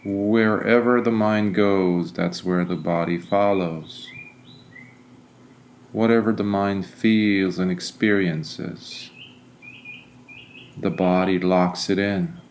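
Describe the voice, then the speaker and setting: Male meditation guide: unhurried, very slow delivery with long pauses, lowish pitch, some background noise. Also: fairly even intonation, a little mellow. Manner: deliberate, soothing, frequent pauses between phrases. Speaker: meditation guide, Buddhist monk.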